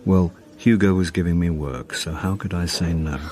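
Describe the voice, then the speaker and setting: A man's voice: sits low, normal speed, a little mellow, close to an everyday voice. A man with a British accent playing a jaded, boozy middle-aged actor, wry and evasive, in radio comedy-drama with dry sardonic delivery. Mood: wry narrating. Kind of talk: radio comedy-drama acting and wry first-person narration.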